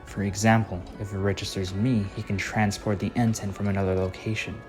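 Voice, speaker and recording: A man voicing a calm, serious young swordsman in an anime fan dub, speaking in a quiet, steady, matter-of-fact way. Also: lowish voice, a little fast, over music, lightly acted.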